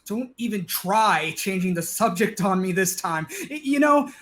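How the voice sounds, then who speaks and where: Fussy, accusing neighbor played by a man, high voice, sarcastic complaints in a stage comedy. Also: animated, unhurried, a bit bright. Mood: annoyed, accusing. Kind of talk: comedic stage play acting.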